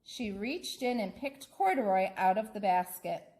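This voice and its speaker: A woman narrating a children's bedtime story in an expressive, deliberate manner. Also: mid-range voice. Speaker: school staff member reading aloud.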